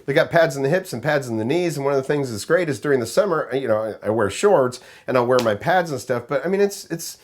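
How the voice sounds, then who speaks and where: A man reviews riding gear in a loose, chatty vlog. His voice is raspy and hoarse, at an ordinary middle pitch, and he rambles along in an easygoing, friendly way. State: raspy, hoarse voice after illness. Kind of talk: casual product review vlog.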